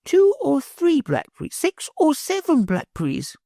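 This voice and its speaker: Woman voicing an excited little boy, high squeaky pitch, bouncy baby talk, dry sound. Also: a little slow, vocal fry, dry recording, exaggerated voice. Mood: eager. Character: small boy, greedy and eager, childish grammar. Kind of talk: audiobook character voice.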